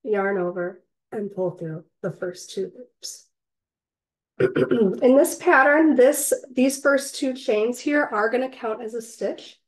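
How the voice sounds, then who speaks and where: A woman with a slightly raspy, cold-hoarse voice, patiently teaching a crochet class step by step and counting stitches aloud. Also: a little high, slow, poor audio. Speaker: crochet instructor. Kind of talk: step-by-step craft tutorial. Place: indoors, online class.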